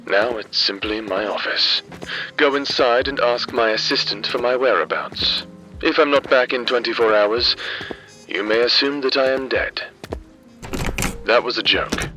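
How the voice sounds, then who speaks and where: A man playing a sardonic, world-weary private investigator in a fantasy noir audio drama, with a dry, formal, deadpan delivery. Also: mid-range voice, measured pace, highs cut off. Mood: brooding, deadpan. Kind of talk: noir audio drama acting with scripted monologue. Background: background music.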